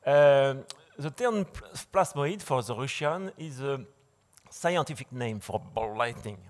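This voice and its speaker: A man lecturing in English, which is not his first language, in a large dark hall. He speaks through a podium mic, with many fillers and restarts. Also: medium pitch, lively intonation, unhurried. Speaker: conference speaker. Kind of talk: slide lecture.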